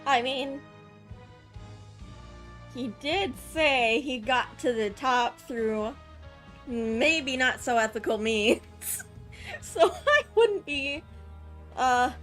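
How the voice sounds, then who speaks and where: A woman's voice: high-pitched, lively intonation, drawn-out words, pauses often, a bit bright, soft background music. A woman giving casual, reactive gameplay commentary, thinking aloud as she plays. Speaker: game streamer.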